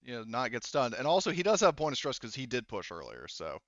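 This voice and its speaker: A man's voice: medium pitch, huge pitch swings, fast, fairly bright tone, no room sound, lo-fi sound. A man acting as game master in a tabletop roleplaying game, thinking aloud through rules and dice math.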